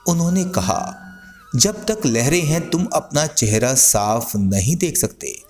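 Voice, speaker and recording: A man narrating a guided sleep meditation in a soothing, gently instructing manner. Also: a little high, lively intonation, slow pace, soft background music.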